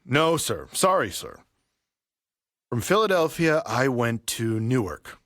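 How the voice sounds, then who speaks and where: An actor playing a polite, deferential, chatty barber in an audio play. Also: male voice, medium pitch, very animated, slow, a bit bright, dry recording, clean studio sound. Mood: calm, probing. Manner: polite, deferential, repeatedly saying sir. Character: deferential, chatty barber quietly stalking his customer. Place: professional recording studio.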